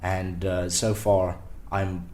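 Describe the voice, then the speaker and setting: A man's voice: deep voice, takes it slowly. A young man in his twenties talking casually as a podcast guest, rambling a little with fillers and restarts. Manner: relaxed, rambling with many ums and restarts. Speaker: podcast guest, startup founder. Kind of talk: casual interview chat.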